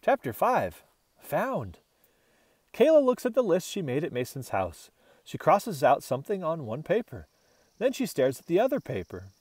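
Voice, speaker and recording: A man reading a children's storybook aloud in an expressive narrating style. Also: on the high side, normal speed, breathy edge, no room sound. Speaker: storybook reader.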